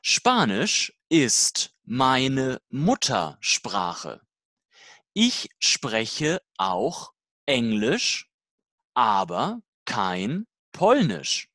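A speaker reading a scripted language-learning dialogue slowly and deliberately, in short, simple learner-level sentences. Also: mid-range voice, wildly swooping pitch, fairly bright tone, no echo, studio quality.

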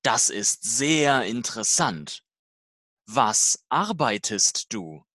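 Language-course dialogue reader: very slow, deliberate delivery, lively pitch movement. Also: no room sound, studio quality. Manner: slow, deliberate, simple learner-level sentences.

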